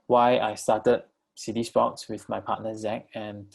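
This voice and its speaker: A man from Malaysia giving a virtual talk about his venture and taking audience questions, with many pauses, fillers and restarts. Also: lowish voice, little pitch movement, vocal fry, a little mellow. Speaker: co-founder presenting his enterprise.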